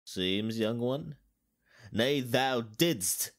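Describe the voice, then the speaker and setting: An actor playing a wise old Jedi mentor, calm and solemn, in a measured Shakespearean dramatic reading. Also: male voice, mid-range voice. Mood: sage, encouraging. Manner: measured, archaic theatrical verse.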